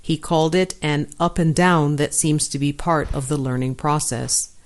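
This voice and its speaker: A voice reading a science news article aloud in an even, neutral, scripted way. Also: mid-range voice, moderate pace. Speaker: article audio narrator.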